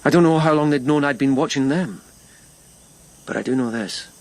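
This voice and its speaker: An actor playing a thoughtful, reserved police lieutenant in ancient Japan, speaking in reflective, halting phrases in a radio drama. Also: male voice, medium pitch, lightly acted. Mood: wistful, reflective. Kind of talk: naturalistic radio drama acting.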